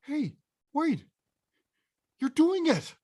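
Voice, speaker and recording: A woman's voice: high-pitched, big pitch swings, drawn-out words, warm tone, no room sound, exaggerated voice. A woman playing a big, clumsy, good-hearted man coaching someone in a cartoon script read, blunt and a little hesitant. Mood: encouraging. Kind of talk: live animated-film table read.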